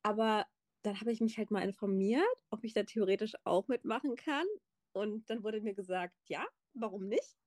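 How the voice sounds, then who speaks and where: A woman's voice: medium pitch, normal speed, no room sound, studio quality. A woman pastry chef as a podcast guest, chatting casually with many fillers and the odd laugh. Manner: relaxed, laughing, filler-heavy. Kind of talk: casual interview chat.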